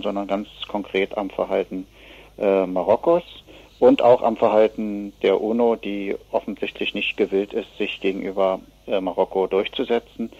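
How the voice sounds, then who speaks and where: A man giving spontaneous political analysis as an expert interview guest, speaking haltingly with many filled pauses and rephrasings. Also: lowish voice, vocal fry, darkish tone, slightly rough, no echo. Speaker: expert guest from an advocacy association. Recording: dull, lo-fi radio interview sound.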